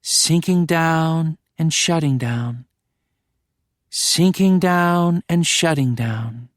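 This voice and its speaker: A man voicing a calm female hypnotist who speaks in a slow, soothing, hypnotic way. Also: a little high, no echo, studio quality, pitched above the performer's own voice. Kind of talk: hypnotic induction.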